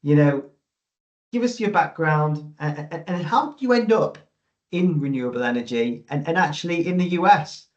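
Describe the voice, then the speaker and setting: Male podcast interviewer, slightly high voice, hesitant conversational phrasing, somewhat dull recording. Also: lively intonation, moderate pace. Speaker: podcast host.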